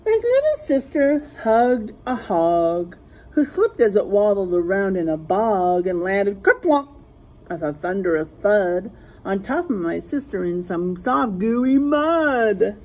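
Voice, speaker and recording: Expressive, slightly husky woman reading to children. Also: mid-range voice, low-quality recording. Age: middle-aged. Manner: teacherly, pauses to point out rhyming word pairs. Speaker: storyteller reading a children's book aloud. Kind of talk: animated rhyming picture-book read-aloud. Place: small classroom, indoors.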